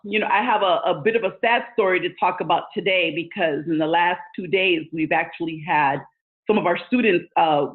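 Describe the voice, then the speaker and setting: A woman in her forties whose first language is Spanish, earnestly answering interview questions with frequent fillers. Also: on the low side. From United States. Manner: earnest, thoughtful, many fillers. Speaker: guest, education leader. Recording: tinny phone line.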